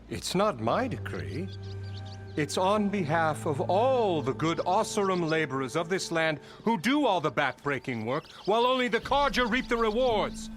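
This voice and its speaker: An actor playing a pompous, self-important labour boss in a fantasy video game, bombastic, theatrical and mock-courteous in manner. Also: male voice, high voice, sing-song, unhurried, a bit bright, faint music behind, full character voice. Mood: righteous bluster. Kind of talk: video game character acting.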